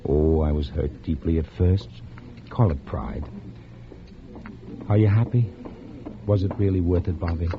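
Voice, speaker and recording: An actor playing a courteous, earnest, wounded old flame in a 1940s radio drama. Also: male voice, sits low, unhurried, long pauses. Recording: muffled old radio recording with hiss.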